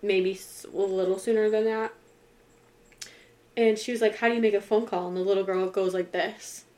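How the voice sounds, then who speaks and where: A woman's voice: medium pitch, fairly even intonation, moderate pace, a little mellow. A woman in her twenties chatting casually as a podcast co-host, rambling and slangy, with frequent swearing. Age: 20s. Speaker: true crime podcast co-host. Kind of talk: casual chat.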